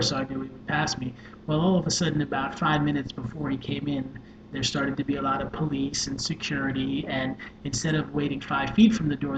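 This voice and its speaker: A soft-spoken man, a social worker and life coach, earnestly telling a personal story with many fillers. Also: mid-range voice. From New York. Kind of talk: personal story told to camera. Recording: echoey room with dull sound and noise.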